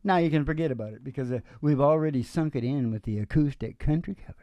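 A middle-aged man hosting a music show, chatting casually and telling rambling, animated stories. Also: fairly high pitch, fast talker, dry recording, lo-fi sound. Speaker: singer and music show host. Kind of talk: casual chat and storytelling between songs. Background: acoustic guitar.

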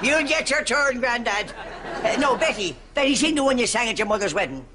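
A man with a Dublin accent playing a brash, bossy, warm older Irish mammy in a broad studio sitcom. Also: very high-pitched, animated, fairly quick, a loud crowd. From Ireland. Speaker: lead actor of a sitcom. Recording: audience noise behind studio sitcom dialogue.

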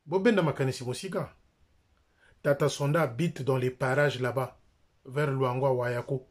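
A man's voice: mid-range voice, fairly even intonation, normal speed. A middle-aged man with a Congolese French accent, speaking straight to a close phone camera in a small room, insistent and repeating his points. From Republic of the Congo. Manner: insistent, repeats phrases, jabs with his finger. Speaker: church member and critic. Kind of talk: direct-to-camera denunciation.

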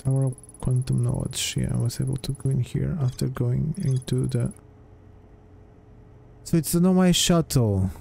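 A man's voice: mid-range voice, moderate pace, gravelly, dark tone, breathy edge, soft background music. A man giving casual gameplay commentary, hesitantly muttering his thoughts aloud as he plays. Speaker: gamer, let's play host.

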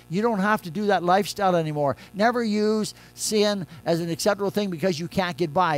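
Older pastor preaching from the pulpit. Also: male voice, high-pitched. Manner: conversational preaching, swings between loud emphasis and soft quiet lines. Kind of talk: sermon. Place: church sanctuary at a pulpit.

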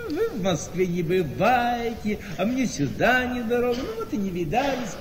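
An actor playing a fussy, ingratiating older male relative in a radio play, repeating words and exclaiming haltingly. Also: extremely high pitch, sing-song, big-room sound, background noise. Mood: genial, fawning. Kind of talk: theatrical radio play acting.

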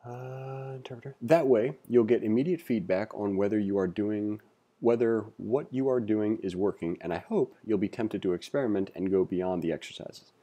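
A man's voice: fairly low pitch, dark tone. A man hosting a casual read-along tutorial, reading aloud and adding informal asides to the viewer. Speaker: tutorial host.

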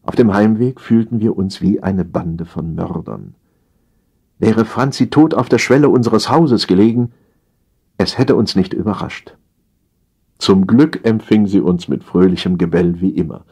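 A man reading a humorous story as a scripted audiobook narration, in an expressive, theatrical storytelling manner. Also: on the low side, a little slow, vocal fry, breathy edge.

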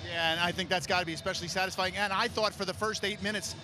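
A man interviewing a coach and player on the arena floor after a game, holding a handheld mic, asking quick friendly questions. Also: high voice, steady tone, music in the background. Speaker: sideline interviewer. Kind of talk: postgame interview.

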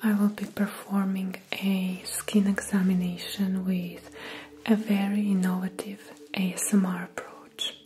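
A young woman doing a soft-spoken ASMR medical role play, half whispering in a gentle, soothing manner with pauses. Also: mid-range voice, flat delivery. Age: young adult. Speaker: ASMR performer playing a dermatologist. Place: small room indoors.

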